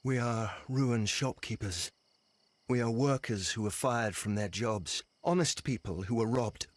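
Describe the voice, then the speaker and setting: An actor as a defiant rebel leader in a video game, a man with a medium-pitched voice. He sounds embittered and speechifying, recorded dry and close. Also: dry recording, natural voice. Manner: measured, oratorical, defiant.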